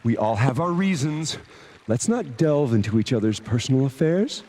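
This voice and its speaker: An actor playing a stern older priest on a secret mission, speaking in a grave, measured, guarded way in a video game. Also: male voice, medium pitch, lively intonation, mellow, natural voice.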